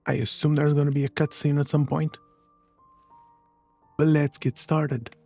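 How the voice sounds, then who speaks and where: A gaming streamer with a soft, husky voice, giving casual let's play commentary. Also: mid-range voice, little pitch movement, a little slow. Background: game music.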